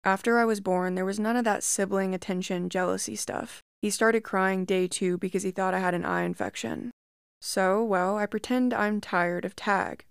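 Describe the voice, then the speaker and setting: Calm woman narrating a creepy story. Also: medium pitch, moderate pace, vocal fry, clean tone, dry recording, studio quality. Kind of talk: reading a first-person creepy story.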